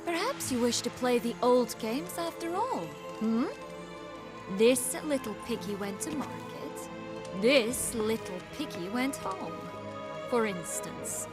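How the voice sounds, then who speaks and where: A woman playing a proud, cool exiled noblewoman in a fantasy game, sardonic and commanding, with measured menace. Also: fairly high pitch, expressive, over music. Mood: veiled menace. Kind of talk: dramatic fantasy game acting.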